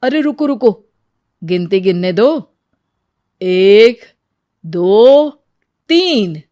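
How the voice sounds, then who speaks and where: A woman's voice: mid-range voice, expressive, very slow, warm tone. A woman voicing a stern but fair male royal minister, officious and instructing, in a storybook tale.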